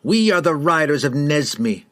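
Fantasy audiobook rider, acted by a male narrator: pompous, sneering town guard, formal old-fashioned wording, animated pitch. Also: unhurried, lightly acted. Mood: proud. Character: haughty, hostile male town rider, leader of patrol.

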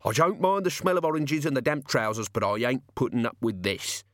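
A man's voice: medium pitch, expressive, fairly quick, fairly bright tone, no room sound, studio quality, close to an everyday voice. A man voicing a grumbling, fed-up old man in a festive costume, in an audiobook. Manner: grumbling, colloquial.

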